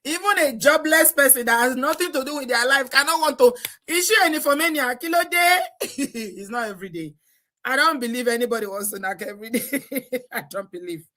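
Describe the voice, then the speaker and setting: A woman's voice: high-pitched, animated, fairly quick, pushed and bright. A Nigerian woman hosting a live stream, chatty and exclamatory, often breaking into laughter. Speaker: host. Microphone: live stream setup.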